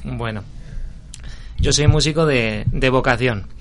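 A man from Spain with a Spanish accent, a radio guest talking in a relaxed, hesitant way. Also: medium pitch, slow pace, soft background music. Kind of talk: casual interview talk.

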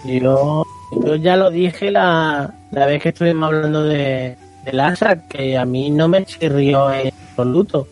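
A man's voice: fairly high pitch, a little slow, darkish tone. A man with an accent from Spain, a podcast panelist chatting in an emphatic, colloquial way and repeating himself. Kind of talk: casual chat. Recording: faint music behind the voice.

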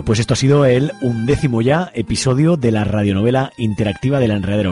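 A man's voice: on the low side, darkish tone. A man with an accent from Spain hosting a radio show, chatting casually in a joking, colloquial way. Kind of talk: casual unscripted outro chat.

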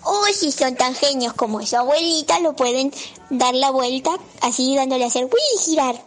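A woman's voice: sits high, lively intonation, moderate pace. A woman with an Argentine accent reading instructions aloud to children on a radio show, stumbling now and then.

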